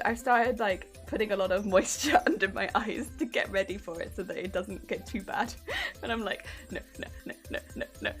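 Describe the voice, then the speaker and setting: A woman's voice: a little high, fairly bright tone. Giggly woman with a weak, warbly voice. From United Kingdom. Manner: chatty, giggly, greets chat cheerfully. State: congested from hay fever. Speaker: streamer. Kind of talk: casual chat while crafting. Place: home craft room.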